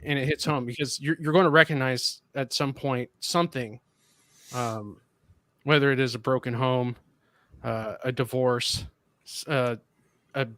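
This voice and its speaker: Male guest reviewer, measured and reflective, mid pitch, dry sound. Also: fairly bright tone, dry recording. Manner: thoughtful, measured, searching for words. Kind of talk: casual discussion.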